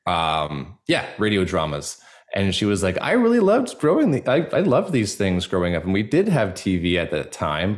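A young man with a deep, low-pitched voice speaks as a podcast guest. He is conversational and earnest, wandering through his thoughts with plenty of "like" and "you know" along the way. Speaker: podcast guest, actor and audio drama creator. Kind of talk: casual conversational interview answers.

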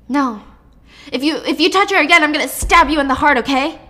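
A woman's voice: a little high, normal speed, fairly bright tone. A woman voicing a menacing, furious armed man in a true-story retelling, barking repetitive commands. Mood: threatening.

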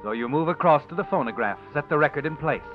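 A man narrating a radio drama in the second person, measured and ominous. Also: fairly high pitch, lively intonation, phone-quality sound. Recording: old radio recording with music underneath.

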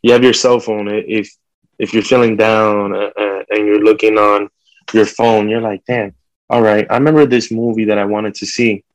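A man's voice: on the low side, level tone, normal speed, dry recording, low-quality recording. A man in casual conversation, talking informally with street slang.

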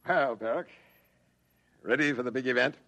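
An actor playing a commanding alien troop commander in an old-time radio drama, giving clipped military orders. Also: male voice, mid-range voice, moderate pace, gravelly, pushed and bright. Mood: calm, expectant. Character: brisk, commanding male alien troop commander, excitable, authoritative.

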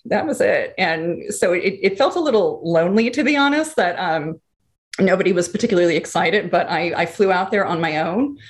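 Woman, thirties, lowish pitch, chatty guest who restarts often. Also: animated, normal speed. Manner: chatty, with many fillers and restarts. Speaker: guest, screenwriter and former archaeologist. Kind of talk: casual interview storytelling.

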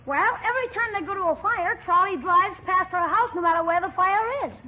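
Brash young American nephew, very high voice, wisecracking 1940s radio comedy, muffled recording. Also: brisk, slight background noise. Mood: cheeky, gossipy. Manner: brash, slangy, gossipy.

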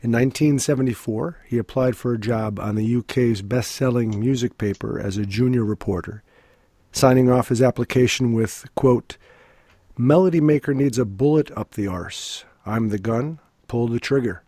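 A man hosting a music interview podcast, sounding stuffy from a cold and reading his guest's background in a measured, formal way. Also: medium pitch, warm tone, no echo. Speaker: podcast host.

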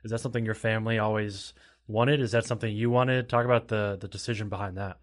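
A man hosting a podcast, asking easygoing questions in a relaxed way. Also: on the low side, quick pace. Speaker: podcast host. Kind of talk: casual podcast interview.

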